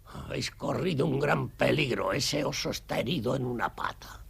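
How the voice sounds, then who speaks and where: Grave worried cartoon woodsman, super raspy, sharp edge to it. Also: mid-range voice, normal speed, heavily acted. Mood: grave warning. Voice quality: raspy, creaky. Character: grave, anxious woodsman warning of danger. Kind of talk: animated series dub acting.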